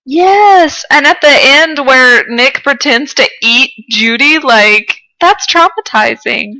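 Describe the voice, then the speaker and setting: A woman's voice: high-pitched, lively intonation, takes it slowly, sharp tone, rough recording. A young woman co-hosting a podcast, chatting casually with playful, informal banter. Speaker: podcast co-host. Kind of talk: casual comedic chat.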